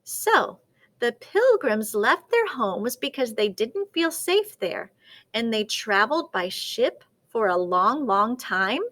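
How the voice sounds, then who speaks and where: A woman's voice: on the high side, sing-song, takes it slowly, fairly bright tone, heavily acted. A woman voicing a curious, welcoming penguin in a children's storybook, with an inquisitive, questioning manner.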